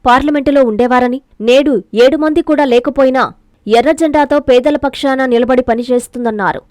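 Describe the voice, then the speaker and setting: Female news reader, high pitched, scripted delivery, very dry close-miked recording. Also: steady tone, a little fast, a little mellow, no room sound.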